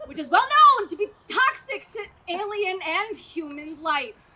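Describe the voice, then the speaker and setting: A woman's voice: extremely high pitch, animated, measured pace, over a noisy crowd. A woman playing a brash, swaggering mayor turned action hero, bombastic and hammy in a comic stage radio play. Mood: gloating. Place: outdoors in a parking lot. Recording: outdoor stage recording, noisy background.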